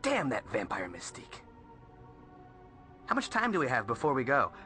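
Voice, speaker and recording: A man's voice: medium pitch, huge pitch swings, normal speed, pushed and bright, faint music behind. A man playing a wisecracking, jealous young sidekick in a video game, with a casual, joking delivery. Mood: sardonic. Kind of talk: video game cutscene acting.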